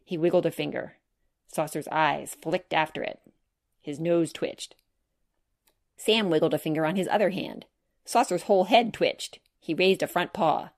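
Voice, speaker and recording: Low-pitched woman narrating a children's storybook. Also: moderate pace, vocal fry. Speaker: author narrating own children's book.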